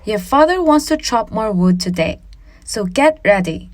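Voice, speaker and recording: A woman's voice: medium pitch, expressive, normal speed, darkish tone, no echo, natural voice. A woman voicing a bossy, harsh fairy-tale stepmother with a snappish, commanding manner.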